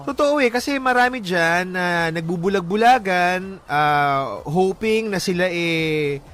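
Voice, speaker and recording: A man's voice: high-pitched, lively intonation, measured pace, a bit bright. A Filipino man hosting a radio love-story show, moving between reading and casual, blunt, joking talk with some English mixed in. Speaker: radio host. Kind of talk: casual advice banter and dramatic reading of listener letters on love. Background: background music.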